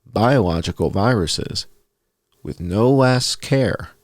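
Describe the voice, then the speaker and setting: A man with a low voice narrates a written piece as bedtime reading. His pitch swings widely as he goes, and the sound is close and dry, with very little room. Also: expressive, slow, dry recording. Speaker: host reading an essay aloud. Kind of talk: scripted narration, read aloud as bedtime story.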